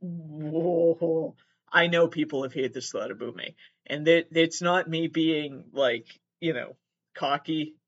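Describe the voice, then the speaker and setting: A man giving casual comedic commentary as he reads messages aloud, halting and sweary, with exasperated asides. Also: fairly high pitch, dull audio.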